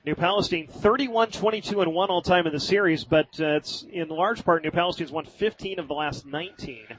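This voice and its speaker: An American man doing live radio play-by-play of high school football in vivid, colloquial language. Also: fairly bright tone, slight background noise, poor audio.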